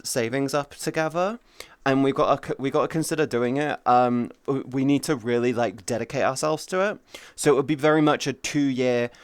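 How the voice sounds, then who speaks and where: Thirtysomething guy streamer rambling to chat, cussing a lot, smooth voice, dry close sound. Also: medium pitch, no room sound. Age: 30s. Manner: rambling, swears freely, greets chatters by name. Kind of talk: casual chat with viewers.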